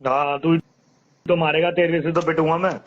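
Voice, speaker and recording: A man's voice: fairly high pitch, level tone, moderate pace, muffled recording. A man in his thirties arguing on a live stream, insisting on respect and repeating his demands. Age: 30s. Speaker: stream guest. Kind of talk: heated casual argument.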